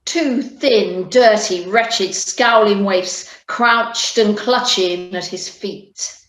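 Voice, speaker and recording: A woman reading scripted narration as the measured storyteller of an amateur radio play. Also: medium pitch. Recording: very noisy, roomy video call audio.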